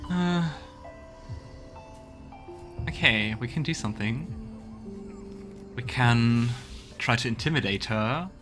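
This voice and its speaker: A man hosting a let's play, musing aloud in casual game commentary. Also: medium pitch.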